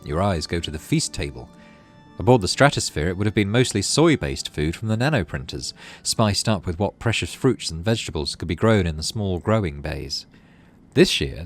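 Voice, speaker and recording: A man giving casual let's play commentary, reading story text aloud and murmuring to himself as he decides. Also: low voice. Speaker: gaming channel host.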